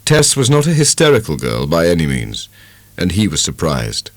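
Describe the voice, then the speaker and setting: A man reads a literary novel aloud as a dramatic narrator. His pitch is low but moves in lively sweeps, and the sound is dry and close. The delivery is scripted and expressive. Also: normal speed, dry recording.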